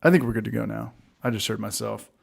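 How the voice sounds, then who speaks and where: A man's voice: lowish voice, steady tone, quick pace, vocal fry, husky. Easygoing man in his thirties. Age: 30s. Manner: easygoing, rambling, greets chat often. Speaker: livestream co-host. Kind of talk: casual beer-tasting livestream chat.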